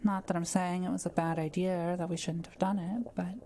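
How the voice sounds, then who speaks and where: A woman playing a kind, curious forest witch in an intimate audio roleplay, speaking gently and thoughtfully with polite, measured phrasing. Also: on the low side, level tone, slight background noise, close to an everyday voice. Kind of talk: intimate scripted audio roleplay addressed to the listener.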